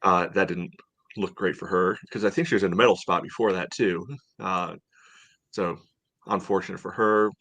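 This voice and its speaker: A man's voice: deep voice, steady tone, normal speed, fairly bright tone, dry recording. An American man co-hosting a sports podcast, chatting casually in a rambling, conversational way.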